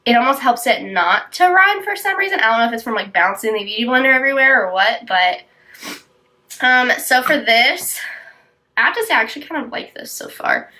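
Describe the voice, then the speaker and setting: A woman's voice: fairly high pitch, expressive, a little fast, sharp tone. A young woman vlogger with a congested, sick-sounding voice, chatting casually with lots of ums and likes while doing her makeup. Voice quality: tight, dry throat. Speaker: beauty vlogger. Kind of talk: casual get-ready-with-me chat.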